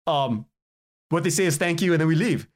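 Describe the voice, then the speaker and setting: A man's voice: a little high, animated, a little fast. A man telling stories casually as a podcast guest, chatty and acting out the dialogue he quotes. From Canada. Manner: chatty, acts out quoted dialogue, chuckles while talking. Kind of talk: animated casual storytelling in an interview.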